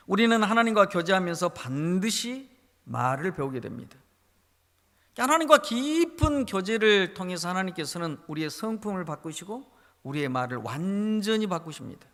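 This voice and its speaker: A man's voice: high-pitched, sing-song, normal speed, fairly bright tone, big-room sound. A man with a Jeolla Korean accent, a pastor preaching a sermon and telling a story with emphasis. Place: church sanctuary.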